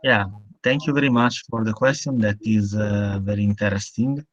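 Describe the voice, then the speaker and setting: A man's voice: fairly low pitch, steady tone, moderate pace, no echo. A man from northern Italy with an Italian accent, speaking hesitantly in non-native English as a guest speaker in an online panel discussion. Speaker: guest speaker on climate action. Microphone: video call. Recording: dull, lo-fi video call audio.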